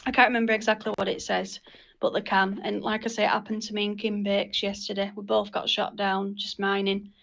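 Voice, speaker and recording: Woman running a casual meeting, pretty flat intonation, bit of gravel in the voice. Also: mid-range voice, fairly quick. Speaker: meeting leader. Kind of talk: informal group meeting talk.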